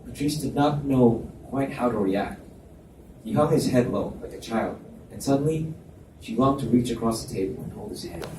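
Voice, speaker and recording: A man's voice: mid-range voice, moderate pace. A man reading scripted romance fiction aloud as the narrator at a live book reading. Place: library event space, indoors. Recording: echoey room, distant mic, faint noise.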